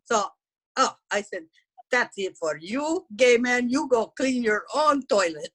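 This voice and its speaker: Woman putting on a blunt gossipy housekeeper, kinda high voice, broken english. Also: expressive, measured pace. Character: brash middle-aged Mexican woman housekeeper, gossipy. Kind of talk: character stand-up comedy.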